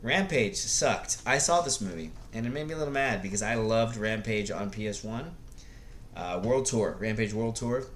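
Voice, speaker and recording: A man's voice: lowish voice, animated, moderate pace, fairly bright tone, slight background noise. A man giving casual, unscripted opinions like a video blogger, blunt and rambling, often hesitating as he searches for words.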